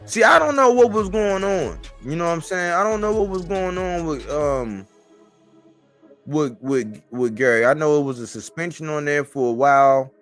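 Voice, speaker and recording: A man in his forties talking casually as a sports commentator on a live stream, in colloquial language and repeating phrases for emphasis. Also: medium pitch.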